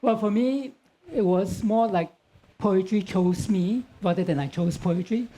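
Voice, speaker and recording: A man's voice: high voice, a little slow, dark tone. A man, a Cantonese speaker, earnestly recalling his start as a writer on a panel. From Singapore. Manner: earnest, plain-spoken recollection. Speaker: author on a panel.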